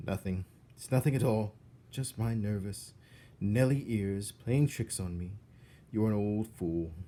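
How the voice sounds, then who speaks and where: A man voicing an excitable, jumpy yet jolly female castle cook from a children's storybook, in an exclamatory way. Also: on the low side, a little slow, a little hiss or hum, close to an everyday voice. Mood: nervous, self-scolding. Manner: exclamatory, self-muttering, giggling "hoo-hee".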